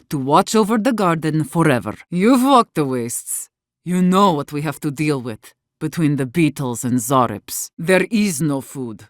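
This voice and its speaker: A low-pitched, embittered warden leader. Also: female voice, no room sound, clean studio sound. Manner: blunt, profane, earnest. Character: embittered, defiant woman warden leader, weary but fierce. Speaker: video game voice actor. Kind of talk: dramatic game dialogue.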